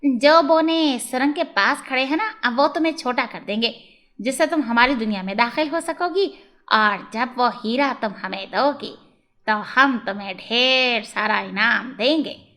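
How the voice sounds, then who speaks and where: A woman's voice: fairly high pitch, unhurried, bright, forceful tone. A woman voicing a small, cunning dwarf in a children's story, instructing and persuading. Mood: coaxing. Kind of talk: children's moral storybook acting.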